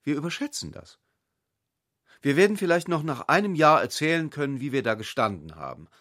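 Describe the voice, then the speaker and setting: An actor playing an ironic, provocative intellectual gentleman in a literary radio drama, musing in short, searching phrases. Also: on the high side, expressive, fairly quick.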